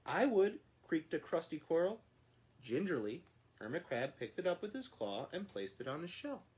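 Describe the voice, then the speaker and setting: Animated man reading a children's story. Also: fairly high pitch, normal speed. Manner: expressive, theatrical phrasing for young children. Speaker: library storytime reader. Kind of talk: read-aloud children's storytelling. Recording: dull lo-fi sound.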